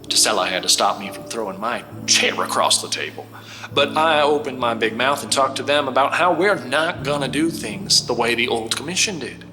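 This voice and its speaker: An actor playing a folksy, defiant starship captain in an audio drama, addressing the crew in an informal, rambling, emphatic way. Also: male voice, medium pitch, expressive, normal speed, close to an everyday voice. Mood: indignant, earnest. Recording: roomy, reverberant sound over clear music.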